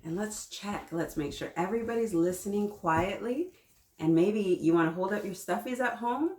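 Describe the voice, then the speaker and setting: A woman's voice: mid-range voice, lively intonation, moderate pace, darkish tone, slight background noise. A woman in her thirties hosting a children's story time, reading aloud and encouraging the children with many questions. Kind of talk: read-aloud storytelling with children, chatting along. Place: small children's playroom, indoors. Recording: roomy echo, mic at a little distance.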